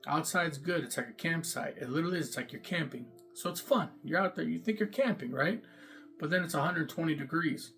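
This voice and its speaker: A man's voice: fairly quick, creaky, bright, forceful tone, faint music behind. A man in casual first-person storytelling to camera, talking loosely with lots of fillers and repeats, about his own time inside. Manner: loose and repetitive, many fillers, emphatic "boom". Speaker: vlogger recounting jail time.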